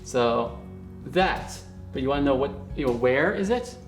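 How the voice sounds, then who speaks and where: A man teaching a beginner Mandarin lesson at a whiteboard, upbeat and encouraging, often checking in with "okay?" and slowly repeating words for learners. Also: medium pitch, animated, soft background music.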